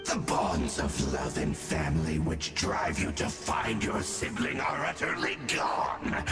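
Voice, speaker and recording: A man voicing a menacing, contemptuous video game villain, gravelly and grandiose, taunting with theatrical cruelty. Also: very deep voice, full character voice. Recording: echoing, loud dramatic music behind.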